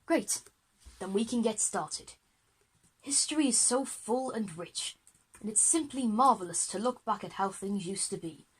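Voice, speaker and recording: A woman's voice: medium pitch, lively intonation, a little slow, husky, low-quality recording. A woman playing an enthusiastic, easily flustered male history teacher in a children's audio drama, with an eager, wordy lecturing manner.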